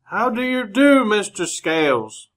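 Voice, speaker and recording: An actor playing a polite, reserved gentleman reporter in an old-time radio play. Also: high-pitched, very animated, measured pace, heavily acted. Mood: awkward courtesy.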